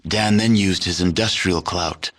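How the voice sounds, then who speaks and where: A man narrating a video game as a grave, detached chronicler of a post-apocalyptic wasteland, in measured, matter-of-fact storytelling. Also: sits low, fairly bright tone. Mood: solemn, detached. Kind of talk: scripted game ending narration.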